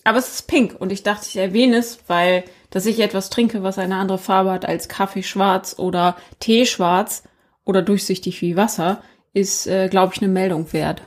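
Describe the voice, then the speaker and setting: A chatty woman co-hosting a podcast, talking casually and poking fun at herself. Her voice sits at an ordinary middle pitch, and she scatters fillers through her sentences. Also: fairly even intonation, moderate pace. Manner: chatty, self-deprecating, many fillers. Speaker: podcast co-host. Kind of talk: casual chat.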